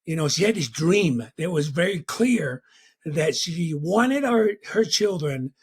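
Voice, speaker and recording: A middle-aged man from Tonga telling his life story as an interview guest, reflective and warm, with a constant "you know.". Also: fairly high pitch, expressive, measured pace, breathy edge.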